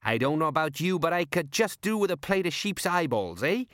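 A man's voice: on the high side, very animated, brisk, fairly bright tone, no echo, clean studio sound, voice pushed up. A man voicing an earnest, bumbling police sergeant with colloquial, ingratiating speech, as in an audiobook. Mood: chummy. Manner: colloquial, dropped letters, ingratiating.

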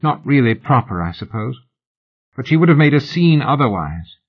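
A man reading an audiobook, voicing a mild, gray-haired older lady who speaks gently and courteously, on a dull lo-fi recording. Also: medium pitch, big pitch swings, moderate pace, voice pushed up. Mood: musing.

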